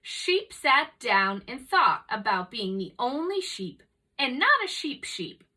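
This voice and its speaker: High, animated woman reading a storybook. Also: slow pace, distant mic. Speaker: storybook reader for children. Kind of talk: animated children's read-aloud narration.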